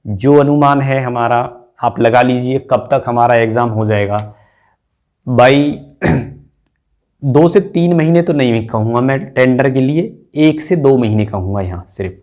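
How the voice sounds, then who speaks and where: A man's voice: medium pitch, measured pace, a little mellow. A man giving an informal, repetitive step-by-step explanation as a teacher to students, often adding a short check for understanding. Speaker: exam-prep teacher and channel host. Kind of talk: informal explainer addressed to exam candidates.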